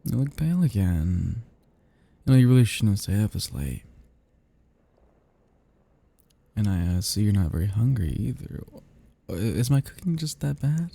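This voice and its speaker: A man's voice: lowish voice, expressive, a little slow, creaky, pauses often, mellow, slightly rough, no room sound, exaggerated voice. A man playing a seductive, possessive vampire in an intimate ASMR roleplay, teasing and menacing, with archaic turns of phrase. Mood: teasing, coaxing.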